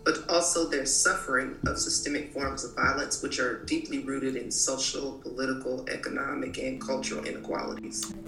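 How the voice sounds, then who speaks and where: A woman in her twenties, a law student co-hosting a podcast, speaking in a crisp, formal way. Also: rumbling low, flat delivery, moderate pace. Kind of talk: podcast discussion. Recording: distant mic in an echoey room.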